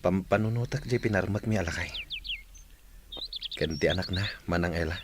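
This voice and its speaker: A man's voice: sits low, normal speed, noisy background, lightly acted. An actor playing a troubled, indignant village man in an Ilocano radio drama.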